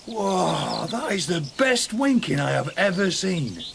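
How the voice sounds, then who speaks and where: An actor playing a friendly, slightly awkward village man who is kind to children, in a radio drama, with a hesitant, informal husky voice. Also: high voice, sing-song, a little slow, fairly bright tone, a little hiss or hum, heavily acted. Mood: kindly.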